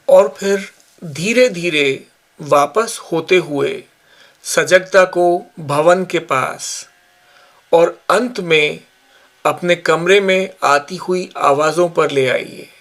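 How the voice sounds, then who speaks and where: Guy leading a yoga nidra session, super slow and calm, kinda high voice. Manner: slow, calm, measured guidance with long pauses. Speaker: yoga teacher. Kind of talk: guided yoga nidra instruction.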